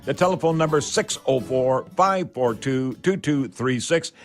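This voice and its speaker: A man's voice: medium pitch, animated, measured pace, soft background music. An older Canadian man, sixty or over, hosting a home improvement show in a chatty, folksy, rambling way with catchphrases.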